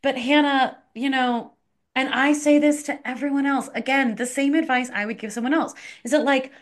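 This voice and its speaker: A woman's voice: high voice, fairly even intonation, moderate pace. A woman who performs and teaches dance, chatting casually and expressively. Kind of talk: casual chat.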